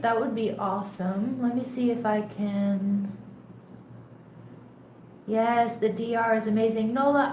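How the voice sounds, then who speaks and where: A congested, slightly nasal woman hosts a live tarot stream in an unhurried, chatty way, laughing lightly as she talks to her viewers. Her voice sits a bit high. The sound carries a roomy echo with a faint hiss underneath. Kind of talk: casual live-stream chat. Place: home in a rainy tropical place. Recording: echoey room, faint background noise.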